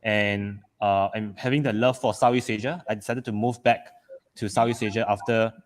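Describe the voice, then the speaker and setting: A man's voice: fairly low pitch, fairly even intonation, moderate pace. Hesitant man on a video call. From Malaysia. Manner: hesitant, many fillers and restarts. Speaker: panel speaker. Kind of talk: casual panel talk over a video call.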